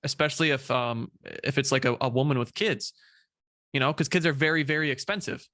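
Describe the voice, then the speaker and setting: A young man speaking as a guest in a casual interview, talking in a halting way with many fillers and repeated words. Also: medium pitch, fairly bright tone, no echo, studio quality. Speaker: guest, social media creator.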